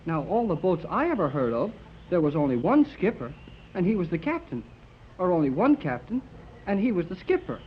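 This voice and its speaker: A young-sounding actor playing an eager, earnest, boyish amateur radio operator in a 1930s radio serial. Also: male voice, high-pitched, brisk. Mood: boyish, bashful. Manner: excitable, earnest, gee-whiz. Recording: dull, noisy 1930s radio recording.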